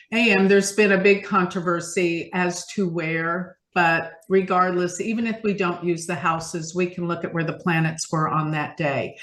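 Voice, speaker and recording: Female guest expert, lower register, conversational forecasting commentary, roomy echoing video-call sound. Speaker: guest astrologer and market forecaster.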